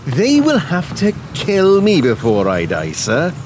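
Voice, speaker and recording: An earnest, oddball pirate crewman, speaks slowly and high, with very animated swings. It is comic audio drama, slavishly keen in manner. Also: full character voice. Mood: oddly earnest. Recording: noisy ambience behind the voice.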